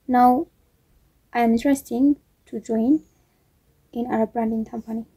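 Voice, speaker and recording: A woman from Ethiopia introducing herself loudly and eagerly in a self-recorded video. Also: fairly high pitch, fairly even intonation, a little slow, slight background noise, rough recording. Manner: loud, eager. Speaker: job applicant. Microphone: phone at arm's length.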